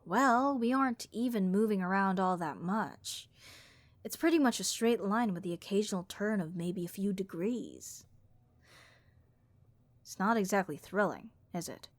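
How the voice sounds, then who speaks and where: Woman acting a raspy, bored harpy copilot. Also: mid-range voice, no room sound, close to an everyday voice. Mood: bored, complaining. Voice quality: raspy vocal fry. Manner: overdramatic, deadpan complaining with drawn-out emphasis. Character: bored, snarky harpy airline copilot, chatty and reckless. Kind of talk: comedic audio roleplay monologue addressed to listener.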